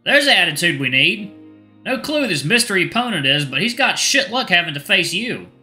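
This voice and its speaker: A man voicing a caring male coach who gently encourages his student. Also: sits high, sing-song, brisk, pitched above the performer's own voice, full character voice. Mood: playful. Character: caring male coach, warm proud mentor. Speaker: trainer of the narrator. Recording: soft music behind.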